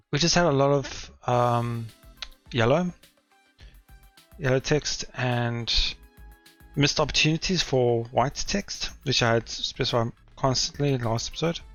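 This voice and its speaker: A man hosting a casual game playthrough, slightly hoarse from an irritated throat, who rambles and second-guesses himself aloud. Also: mid-range voice, unhurried, fairly bright tone, soft background music. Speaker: let's play host. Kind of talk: casual gameplay commentary.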